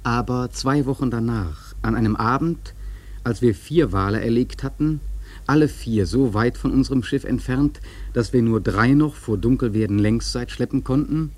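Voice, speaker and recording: A man playing a sailor who narrates a whaling tale in a radio drama, husky, measured and grave. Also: mid-range voice, darkish tone, natural voice. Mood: grave, reflective. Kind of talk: literary radio play narration.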